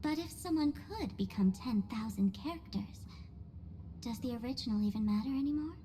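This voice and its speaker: A woman's voice: a little high, lively intonation, normal speed, mellow, a little hiss or hum, lightly acted. A woman voicing a haughty, mischievous young female trickster in a video game, sly, mocking and theatrical in her delivery. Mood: sly, curious. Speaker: game character voice actor. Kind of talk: video game character acting. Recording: background music under the voice.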